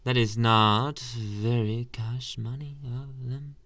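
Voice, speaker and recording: Man playing a slow, creaky-voiced flirtatious teacher. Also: mid-range voice, little pitch movement, a bit bright. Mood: intrigued, coy. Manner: slow, teasing, hesitant pauses, murmured asides, pet names. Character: flirtatious anime teacher, adult male, coy and teasing. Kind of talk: ASMR roleplay spoken to the listener.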